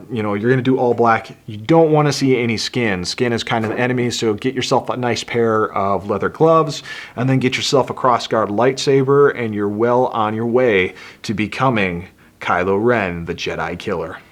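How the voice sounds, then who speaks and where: Male host, medium pitch, casual product-review delivery, easygoing and explanatory. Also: moderate pace. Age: adult. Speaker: video host reviewing a toy helmet.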